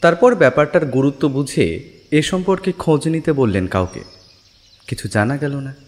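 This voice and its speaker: A male storyteller reads scripted fiction dramatically. His fairly high voice moves in lively contours, carried by long reverb over music. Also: normal speed. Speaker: audiobook narrator.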